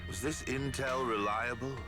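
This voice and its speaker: Casting: male actor as scheming politician for a story game, cynical, part lofty orator, part irritable schemer, mid pitch, music bed. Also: fairly even intonation, normal speed, natural voice. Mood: irritated, scornful. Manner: declamatory in speeches, curt and irritable otherwise. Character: cynical male politician rehearsing a noble speech, scheming behind it. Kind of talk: video game voice acting. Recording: dramatic music under the voice.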